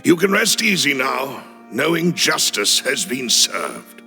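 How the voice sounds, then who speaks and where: An actor playing a solemn, loyal henchman mourning his boss in an anime game, with a gravelly voice. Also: mid-range voice, sing-song, slow, pushed and bright, exaggerated voice. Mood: solemn relief. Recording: reverberant hall, faint music.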